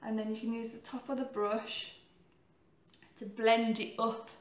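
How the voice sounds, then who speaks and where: A woman in her thirties with a croaky voice, giving a chatty, rambling makeup tutorial and often correcting herself. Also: fairly high pitch, flat delivery, a little slow, roomy sound. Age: 30s.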